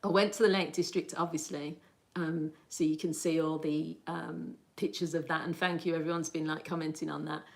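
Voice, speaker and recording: A woman with a slightly low-pitched voice talks casually on a livestream. She rambles through her thoughts, reacts to viewer comments and laughs easily. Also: level tone, moderate pace, gravelly. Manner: chatty and rambling, laughs often. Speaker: livestream host.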